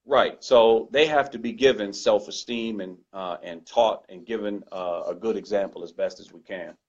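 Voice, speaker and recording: A man with a loud voice speaking earnestly and emphatically as a guest in a conversational discussion, often repeating his points. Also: fairly low pitch, normal speed. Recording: dull lo-fi recording.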